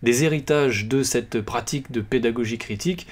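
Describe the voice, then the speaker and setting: A man hosting a podcast, talking through a book's ideas in a loose, unscripted way, with frequent pauses and restarts. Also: medium pitch. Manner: hesitant, many fillers and restarts; self-deprecating humor. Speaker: podcast host presenting a book. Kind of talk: casual spoken book presentation.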